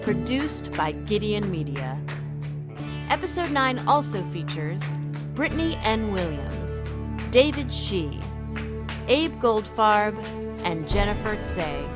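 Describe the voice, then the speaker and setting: A woman's voice: medium pitch, big pitch swings, takes it slowly. A woman reading scripted credits as an announcer. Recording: loud music under the voice. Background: loud instrumental music.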